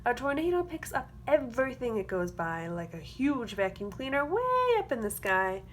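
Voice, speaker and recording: Woman, fairly high voice, teacher reading picture book to children, rhythmic expressive phrasing, noticeable background noise. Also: moderate pace. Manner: rhythmic, expressive delivery of rhyming verse with explanatory asides. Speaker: teacher reading aloud to a class.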